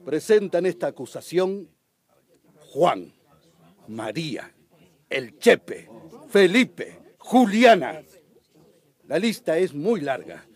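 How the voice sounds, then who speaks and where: An actor playing a righteous prosecutor who accuses in a declamatory, emphatic way in a radio drama. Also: male voice, on the high side, sing-song, slow pace, pauses often, exaggerated voice. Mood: righteous, stern. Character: righteous, impassioned prosecutor accusing landowners for the poor. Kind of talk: radio drama courtroom oratory.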